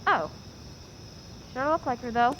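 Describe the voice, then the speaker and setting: A woman's voice: high voice, expressive, a little slow. A woman playing an eager, stubborn little girl hunting autographs in an old-time radio drama. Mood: eager, insistent. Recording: dull, distant, noisy pickup.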